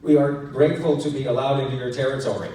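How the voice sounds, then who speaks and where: A man voicing a humble, earnest young hero who speaks up loudly in stiff, formal phrases in a fantasy audiobook. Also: medium pitch, steady tone, fast, a little hiss or hum, pitched above the performer's own voice, lightly acted. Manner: formal, stiff, projected speech. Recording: echoey hall, distant handheld recording.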